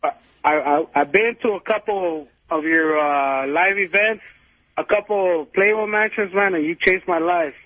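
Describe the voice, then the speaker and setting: A man's voice: high voice, a little slow, dry recording. A man calling in to a radio show, casual and enthusiastic as a fan. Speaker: caller. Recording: tinny phone line on radio.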